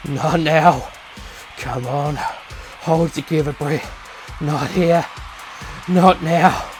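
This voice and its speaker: A man playing a composed but menacing former champion fighter with a dark, unstable side, speaking formally in a visual novel. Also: on the high side, steady tone, extremely slow, faint music behind. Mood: strained.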